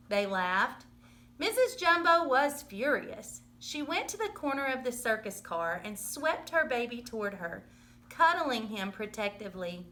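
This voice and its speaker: A woman reading a children's story aloud in an expressive, dramatic storytelling manner. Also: fairly high pitch. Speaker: storybook reader.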